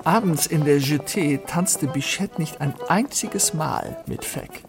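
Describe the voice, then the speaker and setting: A man's voice: a little high, slow pace, creaky. A man delivering scripted literary narration in a radio play. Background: music.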